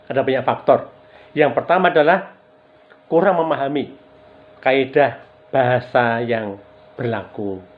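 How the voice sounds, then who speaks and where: A middle-aged man from Java with a Javanese accent, giving a recorded university lecture in a measured way, stretching key words and often addressing his students directly. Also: medium pitch. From Java, Indonesia. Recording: dull lo-fi recording.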